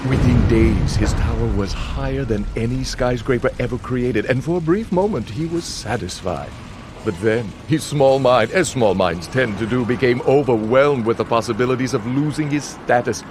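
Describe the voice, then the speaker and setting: An actor playing a sinister contest master in a video game, narrating dramatically in a theatrical, grandiose, measured manner. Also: male voice, mid-range voice, background noise, natural voice. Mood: mocking storytelling.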